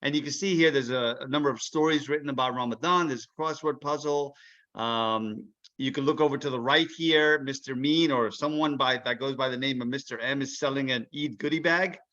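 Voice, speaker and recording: Man, forceful bright tone, mid pitch, guest author, informal explaining, lo-fi video call. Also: fairly quick. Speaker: children's book author and guest. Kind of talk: informal explanation to a young audience.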